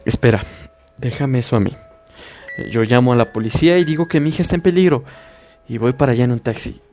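A man's voice: mid-range voice, fast talker, mellow, soft background music, natural voice. A man voicing a worried mother who speaks firmly and soothingly to her daughter in a horror story. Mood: calming. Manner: soothing, firm, reassuring. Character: worried middle-aged mother, calming.

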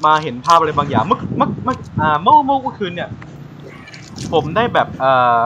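A man's voice: a little high, sing-song, brisk, pushed and bright, a little hiss or hum, low-quality recording. A man hosting a casual live podcast, bantering and often restarting his phrases. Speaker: podcast host.

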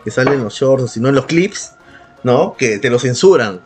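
A man's voice: medium pitch, expressive, normal speed. A man with a Peruvian accent talks in a mid-range voice with light music behind him. He chats as a podcast co-host, irreverent and opinionated. His speech is slangy and thick with profanity. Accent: Peruvian Spanish. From Peru. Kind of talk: casual opinionated chat. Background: background music.

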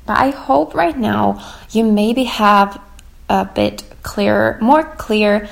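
A young woman hosting a podcast episode, gently guiding listeners through a reflection exercise while hoarse and losing her voice, with many likes and ums. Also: medium pitch, takes it slowly. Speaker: podcast host.

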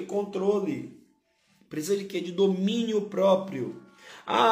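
A hoarse male pastor, preaching a sermon and repeating key phrases to his listeners. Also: high voice, darkish tone, roomy sound.

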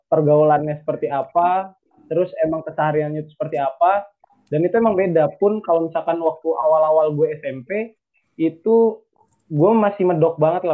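A young man from North Sumatra, Indonesia, telling his story casually in an interview, rambling with many fillers and restarts. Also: medium pitch. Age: young adult. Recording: tinny phone-like line.

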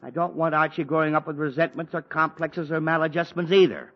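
A man's voice: fairly high pitch, steady tone, fairly quick, background noise, natural voice. An actor playing an exasperated middle-aged father who sputters and grumbles, in 1940s radio acting. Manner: blustery, sputtering, often cut off mid-sentence. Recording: dull lo-fi 1940s radio recording.

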